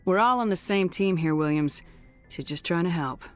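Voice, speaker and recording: Sci-fi game lead: woman playing a composed female commander, deep for a woman, firm delivery. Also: expressive, soft background music, natural voice. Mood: firm, authoritative. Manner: firm, measured, authoritative. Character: level-headed female military spaceship commander. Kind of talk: scripted video game acting.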